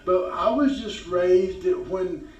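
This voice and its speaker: A man's voice: sits high, slow pace. A man in his fifties with a Southern US accent, hosting a podcast and telling stories in a rambling, folksy way. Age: 50s. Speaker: podcast host. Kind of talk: casual talk show chat. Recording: roomy, distant, dull sound.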